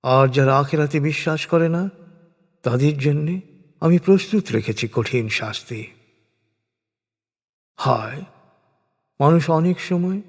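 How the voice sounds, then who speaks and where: A man's voice: a little high, a little mellow. Man reading solemnly in long reverb. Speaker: narrator of a scripture translation. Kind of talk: solemn scripted religious recitation in prose. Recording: long reverberant hall-like echo.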